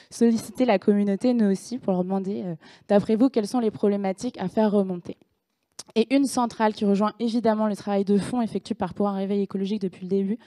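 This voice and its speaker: Woman, twenties, from France, entrepreneur, energetic, many fillers, handheld mic, large room, mellow. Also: mid-range voice. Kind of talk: standing presentation at a debate. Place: large room at a public event. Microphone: handheld microphone.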